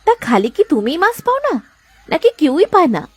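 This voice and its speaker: A woman's voice: high-pitched, sing-song, brisk, no echo. A woman playing a caring, scolding village fisherman's wife in a cartoon, fussing and coaxing. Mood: concerned, coaxing. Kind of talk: Bengali cartoon moral-story dialogue.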